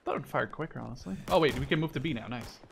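A man's voice: medium pitch, very fast, gravelly, a little hiss or hum. Casual gamer guy, gunfire behind him. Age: 20s. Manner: excitable, swearing, rambling. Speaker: gamer commentating while playing. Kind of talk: casual gaming chat with a friend. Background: video game gunfire, explosions, clicks.

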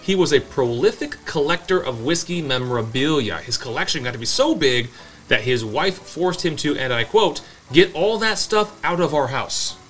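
An American man in his thirties co-hosting a show, narrating comic history and bantering in a dry, deadpan manner. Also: a little high, normal speed. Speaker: co-host of a whiskey review show. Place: small indoor home-style bar set. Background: background music with guitar.

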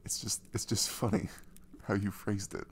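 Casting: male actor as tender, soothing young boyfriend for ASMR audio, gravelly low-end voice, faint background noise. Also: level tone, moderate pace. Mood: amused, reassuring. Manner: hesitant, gentle, soothing, with soft chuckles. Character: caring, reassuring young boyfriend comforting his nervous girlfriend.

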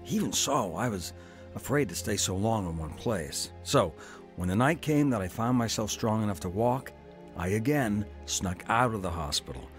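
A man's voice: on the low side, expressive, normal speed, music in the background. A middle-aged man telling his own story in earnest first-person narration for a radio drama. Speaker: narrator and lead.

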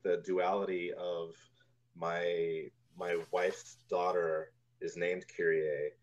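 A man in his forties telling stories casually as an interview guest, halting as he goes, over a video call. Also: low voice, level tone. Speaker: guest, composer. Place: room at home, indoors, on a video call. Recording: dull, lo-fi video-call audio.

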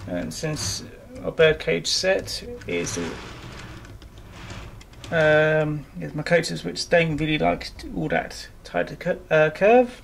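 Croaky man chatting over clicks. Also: fairly high pitch, animated, slow pace. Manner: chatty, self-deprecating, trailing off with ums. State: croaky from a sore throat. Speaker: model railway hobbyist vlogger. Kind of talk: casual narration while working. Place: indoors in a small room, bedroom layout. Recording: noisy home camera recording.

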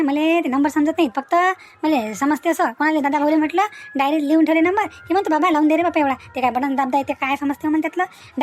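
A woman plays a chatty village matchmaker aunt in a comedy cartoon. Her voice is high and quick. She pushes her point with homely, repetitive insistence. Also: lightly acted. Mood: flustered explaining. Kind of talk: Marathi comedy cartoon dialogue.

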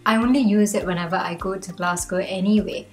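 A young woman from Malaysia, talking to the camera from a room at home. She shares her own reflections in an earnest, chatty way, and her pitch rises now and then. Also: a little fast, soft background music. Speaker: vlogger. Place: home room with bookshelves, indoors.

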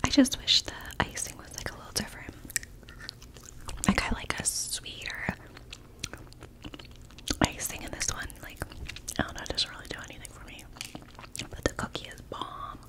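Whispering woman doing an ASMR food review. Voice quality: breathy unvoiced whisper. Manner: casual, unhurried, gentle commentary while eating.

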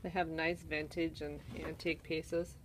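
A woman's voice: low-pitched, flat delivery, moderate pace, a little hiss or hum, low-quality recording. A woman with a Canadian accent casually walks through an antique mall, listing items and prices while filming on her phone. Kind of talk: casual walk-through narration. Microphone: handheld phone camera.

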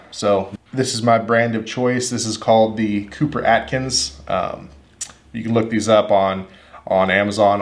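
Laid-back man talking tools, low voice. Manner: relaxed, rambling, repeats words while thinking. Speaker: HVAC technician reviewing tools. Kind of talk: casual tool review talk to camera. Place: indoor workshop, at a wooden table.

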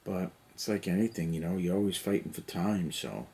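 Deep-voiced man rambling in his basement. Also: brisk, dark tone. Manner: rambling, lots of "you know". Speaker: hobby vlogger. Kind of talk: casual rambling chat while painting. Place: cluttered basement hobby room. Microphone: camera on the table nearby.

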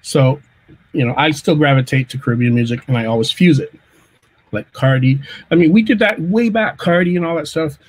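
A middle-aged man from Canada, a music producer, telling relaxed stories over a video call from his home studio and often restarting his sentences. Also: a little high, low-quality recording. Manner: relaxed storyteller, halting restarts. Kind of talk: casual video-call chat.

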